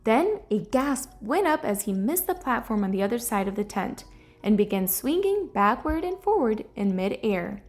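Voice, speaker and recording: A woman reading a children's story aloud in an expressive, storytelling manner. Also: fairly high pitch, normal speed. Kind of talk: children's read-aloud narration. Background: soft music.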